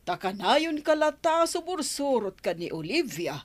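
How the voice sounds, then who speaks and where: A woman's voice: high-pitched, very animated, unhurried, heavily acted. A woman with a Filipino Ilocano accent playing an affectionate, grateful mother-in-law in a radio drama.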